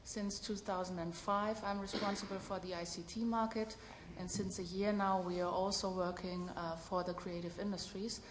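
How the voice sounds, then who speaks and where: A woman introducing herself at a meeting in a clear, orderly way. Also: mid-range voice, level tone, moderate pace, hardly any pauses, a little hiss or hum. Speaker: economic development officer. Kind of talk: round-table self-introduction. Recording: echoey hall with faint ambience.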